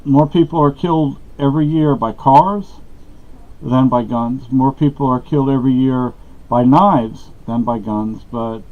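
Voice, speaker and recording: Middle-aged man from Texas, chatty and meandering, recorded in a dark room on a dull-sounding camera mic. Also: mid-range voice, unhurried. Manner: meandering, many fillers and restarts. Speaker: vlogger. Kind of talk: rambling casual talk to camera. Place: small dark room at home.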